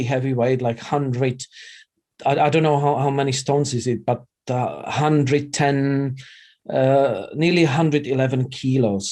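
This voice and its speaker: Mellow middle-aged man with a Czech accent. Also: medium pitch. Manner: halting, non-native English with frequent broken phrasing. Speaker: interview guest, vegan chef and former radio presenter. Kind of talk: casual interview chat.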